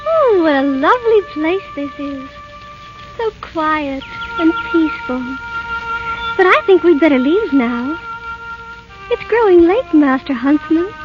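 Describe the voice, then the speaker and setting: A woman's voice: sits high, expressive, measured pace, long pauses, highs cut off. A woman playing a sweet, innocent young princess with a light, girlish soprano voice in 1930s radio drama style. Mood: gentle, innocent. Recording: band-limited 1930s radio with orchestra. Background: music.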